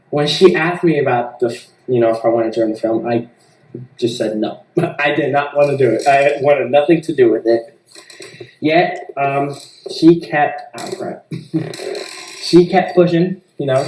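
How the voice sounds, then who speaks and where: A man's voice: medium pitch, darkish tone, slight background noise. Young American man, echoey distant recording. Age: young adult. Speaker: interviewee, student filmmaker and musician. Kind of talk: casual interview answers. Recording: echoey room, distant mic.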